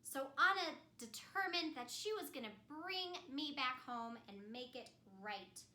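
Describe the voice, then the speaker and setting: A woman performs a children's story as an ice queen. Her voice is high and lively, and she speaks slowly and theatrically, in simple words meant for young listeners. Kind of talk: animated first-person children's storytelling. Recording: roomy echo.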